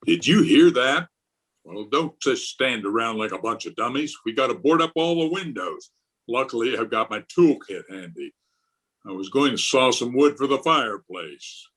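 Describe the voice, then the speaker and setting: Middle-aged blustering school principal for radio comedy male actor: pompous, exasperated, very animated intonation. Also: mid-range voice, moderate pace. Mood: blustering, outraged. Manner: pompous, indignant, theatrical. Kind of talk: old-time radio comedy acting. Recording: remote video-call recording.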